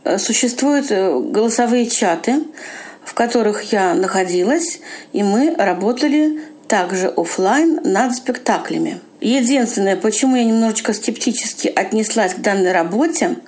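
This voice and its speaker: A woman's voice: mid-range voice, darkish tone. A woman, an amateur audio-play actress, speaking reflectively and informally about her own experience. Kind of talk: reflective spoken account for a radio feature.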